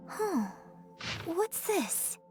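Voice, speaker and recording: A woman's voice: very high-pitched, very animated, extremely slow, darkish tone, dry recording, soft background music, exaggerated voice. An actress playing a refined, polite, thoughtful young woman in a video game, speaking in a poised, formal way. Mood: pondering.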